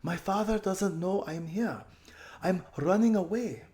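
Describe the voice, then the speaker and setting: A man's voice: sits high, unhurried, dark tone, pitched above the performer's own voice. A man voicing a serious, bossy young runaway noble girl in a storybook, speaking with anxious, insistent urgency. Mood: anxious, secretive.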